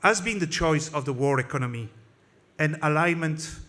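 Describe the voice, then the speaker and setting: A man's voice: a little high, moderate pace, a bit bright. A man whose first language is French gives an impassioned political speech in English at a conference, with a French accent and frequent slips and restarts. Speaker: conference speaker.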